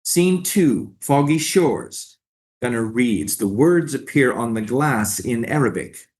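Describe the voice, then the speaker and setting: A man's voice: medium pitch, big pitch swings, takes it slowly, darkish tone. A man reading out stage directions as scripted narration.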